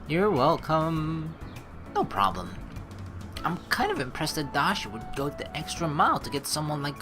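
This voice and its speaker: A woman with a low voice hosts a let's play, talking in a chatty, slangy way. Her pitch swings in a lively manner as she comments and reads story text. Faint music plays behind her. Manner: chatty, slangy, expressive reactions. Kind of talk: gaming commentary, reading story text aloud.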